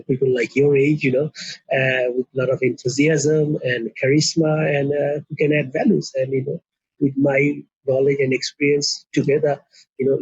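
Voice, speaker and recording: Earnest middle-aged man from Bangladesh, husky, lots of "you know", muffled line. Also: medium pitch, darkish tone, dry recording. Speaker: guest, company co-founder. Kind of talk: casual interview. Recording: muffled, dry lo-fi sound.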